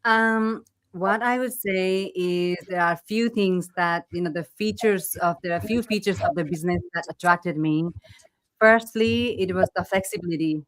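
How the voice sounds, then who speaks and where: Low-voiced woman from Nepal, chatty. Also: moderate pace, low-quality recording. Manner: friendly and conversational, frequent "you know". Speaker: guest. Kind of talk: casual live stream conversation.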